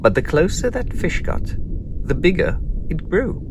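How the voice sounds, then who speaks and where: A man narrates a children's storybook with theatrical suspense. His pitch swings widely and his pace is slow, with hushed dips and noisy ambience behind him. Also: very animated. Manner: dramatic, suspenseful, drops into whispers. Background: sound-effect ambience.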